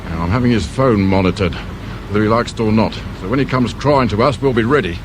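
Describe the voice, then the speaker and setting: An actor playing a brusque, world-weary detective inspector with a British accent in a radio drama. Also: male voice, on the low side, a little fast, lightly acted. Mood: grumbling, cynical. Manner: sardonic, brusque, grumbling. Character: gruff, cynical, world-weary male police detective inspector. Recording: faint noise, old radio drama.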